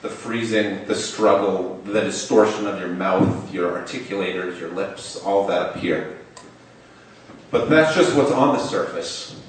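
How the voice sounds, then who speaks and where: A man's voice: low-pitched, measured pace, a little hiss or hum. A young man telling a personal story on stage. He stutters, openly repeating and stretching the first sounds of words. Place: stage in a large hall. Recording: echoey hall, mic far off.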